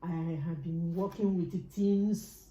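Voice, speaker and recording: A woman's voice: fairly low pitch, steady tone, slow pace, mellow, echoey room, rough recording. A woman taking part in a coaching session, eagerly agreeing and talking about her work. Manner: agreeable, answers eagerly with short yeses. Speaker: participant, nurse midwife. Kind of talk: casual chat.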